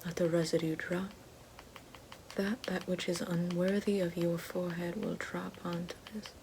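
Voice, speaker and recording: A woman playing a haughty, fallen evil queen and potion-maker in a breathy, mostly whispered ASMR roleplay. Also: lowish voice, flat delivery, a little mellow, a little hiss or hum, close to an everyday voice, low-quality recording. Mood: calm, ominous. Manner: slow, deliberate, haughty, theatrical. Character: fallen evil queen, sly potion-making sorceress down on her luck.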